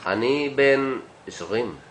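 A man models vocabulary for learners in a medium-pitched voice. He pronounces short phrases deliberately, sometimes pausing mid-phrase, over faint background noise. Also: expressive, reverberant. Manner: deliberate, with hesitant pauses inside phrases. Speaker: language teacher.

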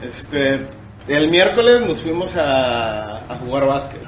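A man's voice: medium pitch, animated, takes it slowly, slight background noise. A man in his late twenties with a Mexican accent, co-hosting a podcast with casual, crude, slangy teasing. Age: late 20s. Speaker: podcast co-host. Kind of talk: casual banter chat. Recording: roomy echo, music behind.

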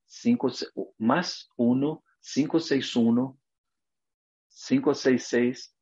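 An earnest man from Brazil speaking Spanish with a Brazilian Portuguese accent. He talks in short, emphatic, motivational phrases at a middling pitch. The recording sounds a little dull and lo-fi. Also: fairly even intonation, drawn-out words, darkish tone. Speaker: naturopath guest. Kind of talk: video interview.

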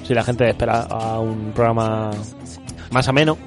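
A man's voice: lowish voice, level tone, normal speed, faint music behind. A man from Spain hosting a local radio show in casual banter, joking and laughing often, with a stuffy congested nose. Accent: Spanish (Spain). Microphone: studio microphone.

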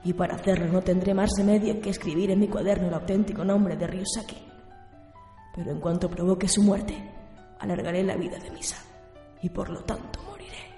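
A woman playing a cold, brooding, protective death god in a radio drama, speaking in a measured, solemn way. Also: on the low side, steady tone, dark tone, close to an everyday voice. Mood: brooding, bitter. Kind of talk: radio drama adaptation of anime. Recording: long cavernous reverb, music behind.

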